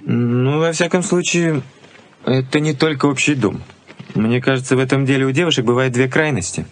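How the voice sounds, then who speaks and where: An actor playing a principled, stubborn young fiancé in a 1980s radio play, speaking deliberately with theatrical pauses. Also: male voice, medium pitch, a little hiss or hum, natural voice. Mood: thoughtful. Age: young adult.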